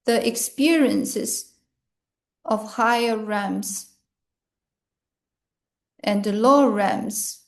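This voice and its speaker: A woman reading a scripted guided meditation in short, measured phrases with pauses between them. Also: mid-range voice, creaky.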